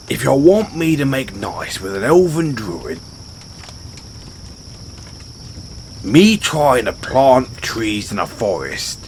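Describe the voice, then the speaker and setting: Man playing a halting, animated British orc barbarian. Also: mid-range voice, a little hiss or hum. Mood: defensive, grumbling. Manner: halting, stammering, blunt and self-deprecating. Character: gruff but sheepish, dim orc barbarian adventurer, adult male. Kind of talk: fantasy audio roleplay, speaking to a companion. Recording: outdoor night ambience with crickets.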